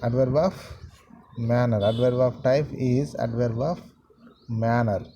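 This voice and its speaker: Give me a slow-talking male teacher with a faint hiss behind him. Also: medium pitch, fairly even intonation, mellow. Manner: slow, repetitive, halting with many fillers. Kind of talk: classroom grammar lesson.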